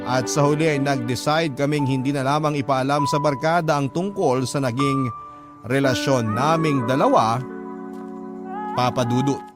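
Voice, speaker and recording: A man's voice: mid-range voice, normal speed. A man narrating a letter in the first person as a scripted radio drama story. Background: instrumental music.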